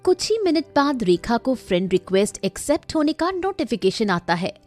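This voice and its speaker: A woman's voice: on the high side, sing-song, normal speed, a little mellow. A woman narrating a scripted story in a dramatic storytelling style. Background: faint music.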